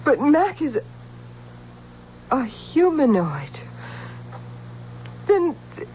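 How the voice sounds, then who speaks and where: A woman's voice: high-pitched, wildly swooping pitch, extremely slow, heavily acted. A woman playing a tender, innocent, lovestruck young humanoid with emotional, theatrical radio drama delivery. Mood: joyful wonder. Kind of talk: old-time radio drama acting. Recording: dull, faintly hissy old radio recording.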